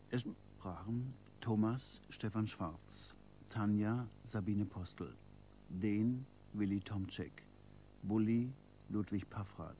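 A man making a formal, scripted radio announcement in a measured manner. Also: fairly low pitch, mellow, a little hiss or hum.

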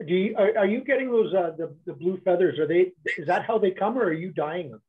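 A man joins a casual video call as a participant with questions. His voice is husky and a little high, and the line sounds thin and phone-like. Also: expressive, fairly quick. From western Canada. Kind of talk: casual chat. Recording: tinny, narrow phone-like call audio.

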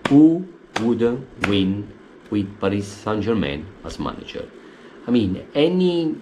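A man in his forties with an Italian accent, giving opinionated football commentary from his living room with many hesitant fillers. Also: slight background noise. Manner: hesitant, many uh fillers, animated pitch swings. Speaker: football fan vlogger. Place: living room at home, indoors.

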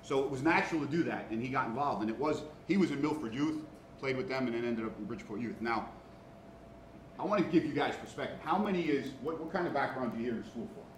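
A man's voice: medium pitch, animated, fast. Queens New York man in his 50s, guest engineer talking to students, chatty, keeps asking "okay?", picked up from far back in a big classroom. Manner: conversational, keeps checking in with "all right?" and "okay?". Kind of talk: informal talk to students. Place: large classroom, indoors. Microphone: far room camera. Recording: echoey classroom from far off, noisy.

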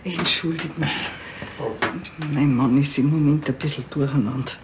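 An actress plays a resigned middle-aged mother in a TV crime drama. She explains things in a low, weary, matter-of-fact way over faint noise. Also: steady tone, normal speed, lightly acted.

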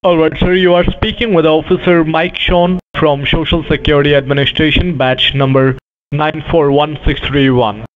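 Male scam caller, stiff official script, slightly high voice, tinny phone line. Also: fairly even intonation, moderate pace. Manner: formal official phrasing. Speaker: phone scammer.

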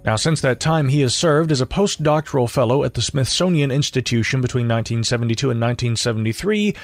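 A man hosting an audio journal, reading a formal, measured introduction of his guest. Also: medium pitch, animated, faint music behind. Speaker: podcast host.

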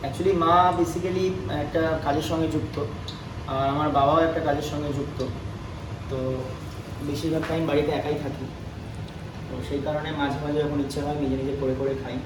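A man's voice: fairly high pitch, fairly even intonation, a little fast, pauses often. A young man chatting playfully and casually as a vlogger. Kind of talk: casual vlog chat. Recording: roomy, off-mic, clear ambient noise.

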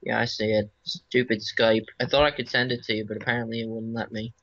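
Excitable teen friend on a call, teasing, crisp bright voice with no room echo. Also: male voice, mid-range voice, brisk, dull audio. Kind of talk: casual banter.

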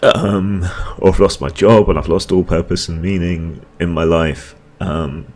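A man talking alone in a weary, halting monologue with frequent ums and restarts, reacting to a debate for his video channel. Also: sits low, fairly even intonation, a little mellow. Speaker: video blogger.

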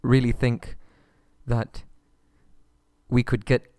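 A man's voice: mid-range voice, little pitch movement, takes it slowly, dark tone, dry recording, clean studio sound, close to an everyday voice. A man playing a nervous, submissive, polite young prince held captive, stammering and pleading in an ASMR roleplay audio drama. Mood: flustered, placating.

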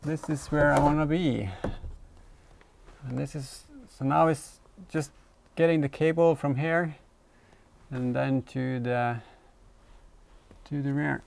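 Gravelly man thinking aloud while working. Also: fairly high pitch, steady tone, slow pace, long pauses, darkish tone, slight background noise. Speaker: host of a car workshop vlog. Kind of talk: casual hands-on commentary while working. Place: inside a car in a workshop.

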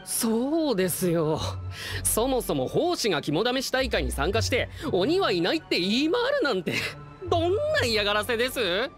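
A man's voice: very high-pitched, huge pitch swings, moderate pace, soft background music, exaggerated voice. A man voicing an indignant, jumpy young event staffer in an anime game, polite but fussy.

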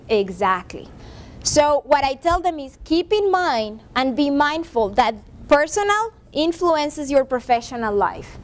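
A woman in her forties with a high voice leads a professional development workshop in a classroom. She talks conversationally, checking in with the audience with a quick "right?", and is picked up by a camera across the room. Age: 40s. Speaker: workshop presenter. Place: classroom with a seated audience, projector screen. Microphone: far room camera.

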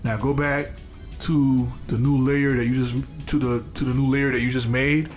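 A man's voice: medium pitch, level tone, moderate pace, soft background music. A congested man with a nasal voice hosts a casual drawing tutorial, talking loosely with restarts and fillers. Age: adult, a father. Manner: loose and repetitive, restarting phrases, many fillers. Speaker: art tutorial host.

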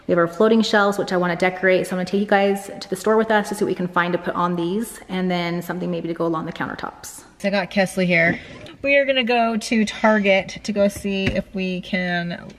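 Chatty American woman vlogger, lowish voice. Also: fairly even intonation, a little fast. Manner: chatty, informal, thinking aloud. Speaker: family vlogger. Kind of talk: casual chat to viewers.

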